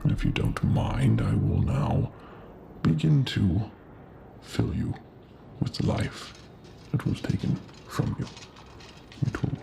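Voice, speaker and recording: Casting: male actor as Death, gentle and socially awkward, gravelly half-whisper, very slow soothing ASMR delivery over noisy ambience. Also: on the low side, very animated, full character voice. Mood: hesitant, reassuring. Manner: hesitant, soothing, repetitive, slightly formal.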